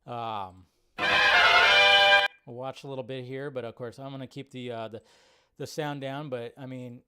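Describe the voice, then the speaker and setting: A man's voice: medium pitch, steady tone, moderate pace, over loud music. A man hosting a live stream with casual, enthusiastic, rambling commentary over a video.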